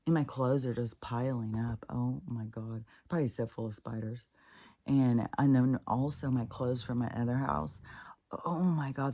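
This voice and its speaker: A woman's voice: rumbling low, mellow. A middle-aged woman with a stuffed-up nose, rambling through a casual personal monologue, swearing freely and restarting her sentences often. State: congested, stuffed up. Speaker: spiritual vlogger.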